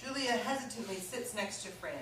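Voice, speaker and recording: A woman's voice: mid-range voice, fairly quick, a bit bright, far from the mic. A woman reading scripted stage directions as the narrator of a staged play reading. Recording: echoey room from afar, faint noise.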